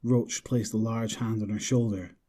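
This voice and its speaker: A man's voice: on the low side, fairly even intonation, moderate pace, dark tone. A man narrating a scripted horror drama in a dramatic storytelling style.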